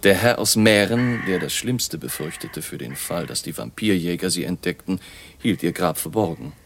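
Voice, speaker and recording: Casting: an eerie scholarly baron, gravelly and low-pitched, measured and grave, radio play. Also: male voice, animated, slight background noise. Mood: grave, narrating. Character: baron, eerie learned vampire researcher, grave and deliberate. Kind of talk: radio drama acting.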